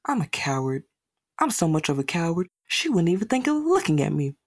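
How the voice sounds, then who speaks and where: A woman's voice: lowish voice, very animated, a little fast, no echo, clean studio sound. A woman performing a monologue as a lonely, self-doubting young boy, glum and self-deprecating. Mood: anxious, self-critical. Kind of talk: dramatic monologue reading.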